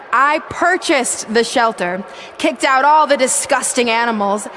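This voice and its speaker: A woman's voice: high-pitched, a bit bright, slight background noise. A woman playing a vain, scheming wrestling villain in a video game, giving a smug, mocking, theatrical promo.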